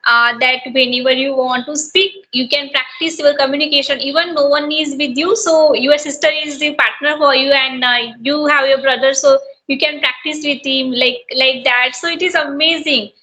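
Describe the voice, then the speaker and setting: Woman, high bright voice, polite and encouraging, lots of uh's, video call. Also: fairly even intonation, moderate pace, hardly any pauses, low-quality recording. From Maharashtra, India. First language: Marathi. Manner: polite, encouraging, many fillers. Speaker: host, English learner. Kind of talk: casual conversation practice over video call. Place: small village home.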